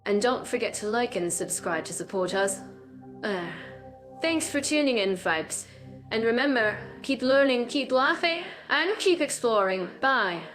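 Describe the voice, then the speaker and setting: A woman co-hosting a podcast in an upbeat, bubbly way, her voice sitting a little high. She sounds scripted and chatty, with soft music playing faintly behind her. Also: expressive, measured pace. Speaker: podcast co-host.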